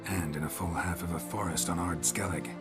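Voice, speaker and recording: An actor playing a terse middle-aged monster hunter who asks short questions in a video game. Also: male voice, low voice, steady tone, a little fast, lightly acted. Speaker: game character. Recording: game audio over music.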